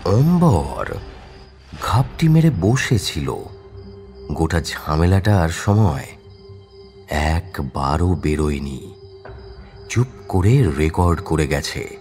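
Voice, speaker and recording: A man's voice: a bass voice, expressive, slow, pauses often, a little mellow, soft background music. A man narrating a scripted crime audio story in a dramatic storytelling style.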